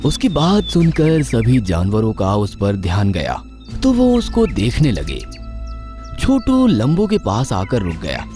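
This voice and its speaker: A man narrating a scripted children's story in an expressive storytelling manner. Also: medium pitch, a little slow, faint music behind.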